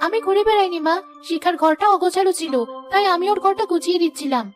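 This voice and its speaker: Woman as gentle mistreated stepdaughter, soft and high. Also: quick pace, faint music behind. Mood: meek. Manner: soft, pleading, submissive. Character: meek hardworking young stepdaughter. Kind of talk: cartoon dubbing.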